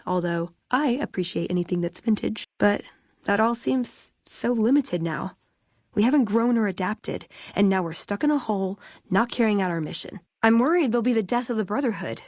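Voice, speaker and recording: A woman's voice: mid-range voice, darkish tone, no room sound, clean studio sound, lightly acted. A woman voicing a wry, idealistic young scavenger in a video game, speaking in a sardonic, conversational way. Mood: earnest, worried. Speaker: video game companion character. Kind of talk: naturalistic game dialogue acting.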